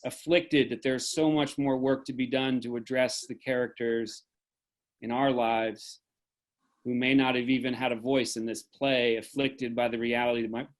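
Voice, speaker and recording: A man speaking as host of an online event, giving earnest, deliberate closing remarks on a video call. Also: medium pitch, level tone. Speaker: host and moderator.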